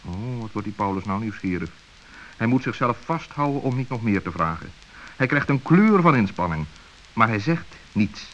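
Male narrator for a children's story. Expressive, varied intonation, faintly hissy vintage sound. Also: fairly low pitch, moderate pace, dull audio. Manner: expressive, suspenseful.

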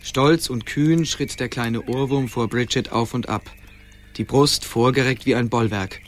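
A man's voice: mid-range voice, measured pace. A man narrating a scripted fantasy radio play as its storyteller. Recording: clear background ambience noise.

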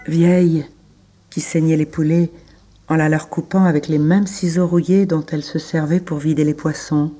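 A person reading literary French prose slowly and deliberately, in short measured phrases. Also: mid-range voice, flat delivery, mellow. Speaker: reader of the French original.

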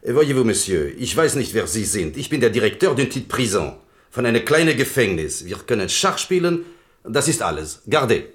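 A man's voice: mid-range voice, big pitch swings, brisk, lightly acted. A man playing a courteous, evasive prison director in a radio play, with a French accent and a polite, hesitant manner. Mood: evasive, apologetic. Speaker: actor in a radio drama. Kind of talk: German radio play acting.

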